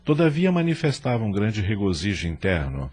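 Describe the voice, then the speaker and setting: A man's voice: on the low side, very animated, a little fast, a little mellow, slightly rough. A man narrating an audio novel in a dramatic, scripted storytelling style. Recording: music bed behind narration.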